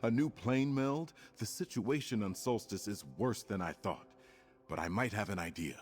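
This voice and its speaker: A grave male ally from a fantasy game speaks in a measured, concerned way, as an actor voices him. His voice sits on the low side, and soft game music plays under the lines. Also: close to an everyday voice. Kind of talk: game cutscene dialogue.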